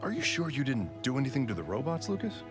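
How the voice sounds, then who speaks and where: An actor playing a knowing, middle-aged adventurer uncle in a video game, wry and explanatory. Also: male voice, medium pitch, huge pitch swings, fast talker, over music. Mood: brisk.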